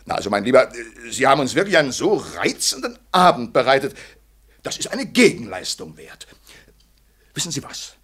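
An actor playing a jovial, condescending older colonel in a vintage radio play, pompous and given to repeating himself, with a husky voice. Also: male voice, mid-range voice, sing-song, moderate pace, a bit bright. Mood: patronising. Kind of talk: 1940s radio drama acting. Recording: 1940s radio recording.

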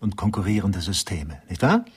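An actor playing a sardonic detective's sidekick with a mocking delivery in a satirical radio crime drama. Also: male voice, sits low, sing-song, husky, heavily acted. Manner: mocking, drawn-out asides. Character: sardonic male investigator's sidekick, sceptical of art.

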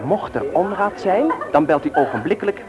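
An actor playing a cocksure, bossy young ringleader in a radio play, instructing with firm emphasis. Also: male voice, high voice, animated, normal speed, a crowd in the background. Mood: confident, instructing.

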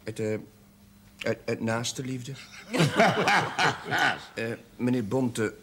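An actor playing an earnest, anxious young curate with a Dutch accent in a television drama, hesitant and stammering. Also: male voice, mid-range voice, steady tone, very slow. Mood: nervous, earnest. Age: young adult. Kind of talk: naturalistic television drama acting. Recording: noisy old television soundtrack.

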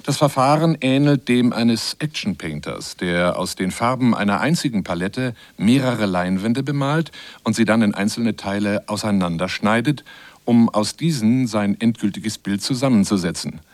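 A man reading a scripted radio essay in a measured, articulate and explanatory manner. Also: lowish voice, animated, no room sound. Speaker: radio feature narrator. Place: radio studio.

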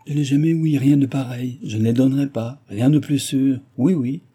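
A man reading an audiobook and voicing a stingy, gruff old male miser who blusters and scolds. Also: medium pitch, steady tone, normal speed, voice pushed up, close to an everyday voice. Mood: grumbling. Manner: blustering, shouting, scornful. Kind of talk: audiobook dialogue.

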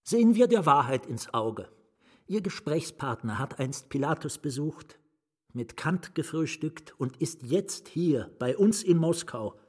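A middle-aged inmate of an asylum, a bitter novelist with secrets. His voice is very husky and full of breath, often dropping to a hush. He speaks in a wry, conspiratorial way, as in a radio play. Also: a little high, sing-song, measured pace, full character voice. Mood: grave, conspiratorial. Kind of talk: German radio drama acting.

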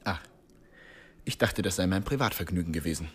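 An actor playing a terse, businesslike investigator in a radio crime drama, curt and dry. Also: male voice, deep voice, a little fast, a bit bright.

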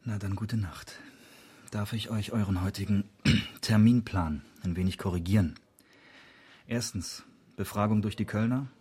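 An exasperated male police officer from a radio crime drama. The voice is deep and thoroughly husky. He grumbles and scolds with dry sarcasm. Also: steady tone, measured pace, darkish tone. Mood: sarcastic. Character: exasperated male police colleague, sardonic, grumbling but loyal.

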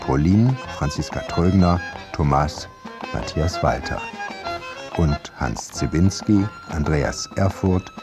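A male announcer reads radio play credits in a deep voice. He is slow, measured and formal. Music plays clearly behind him. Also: animated. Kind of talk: scripted radio drama credits reading.

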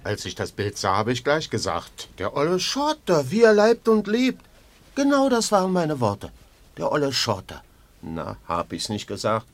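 A mid-pitched male voice plays a bragging, excitable crook in a radio drama. His exclamations swoop dramatically up and down with self-satisfaction. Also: normal speed. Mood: gleeful boasting. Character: boastful, excitable male gang member. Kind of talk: German radio play acting.

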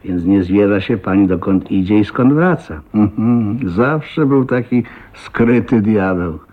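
A folksy, outspoken old millionaire in a radio drama. His low voice is husky and rambles genially, rising and dipping in very lively swings. The recording is narrow and tinny. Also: slow pace. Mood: wistful, reminiscing. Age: older. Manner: garrulous, folksy, blunt, with chuckles and exclamations. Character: talkative, blunt, jovial old self-made millionaire father. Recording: muffled thin narrow-band radio recording.

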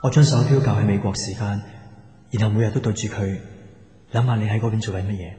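A low male voice playing a young office boss in love. He is earnest and understated in a radio drama style, with music clearly behind him. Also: fairly even intonation, fast, warm tone. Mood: longing. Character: lovesick workaholic young man, an office boss. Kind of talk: Cantonese radio drama acting.

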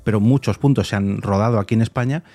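A man's voice: lowish voice, quick pace, mellow. A man with an accent from Spain hosting a conversational interview in an enthusiastic manner. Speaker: podcast host and interviewer.

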